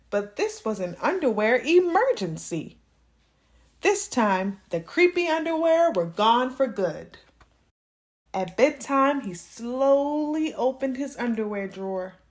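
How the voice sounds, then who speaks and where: Woman, children's read-aloud host, higher voice, slow delivery, expressive storybook narration, dramatic emphasis. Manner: dramatic, drawn-out emphasis on key words.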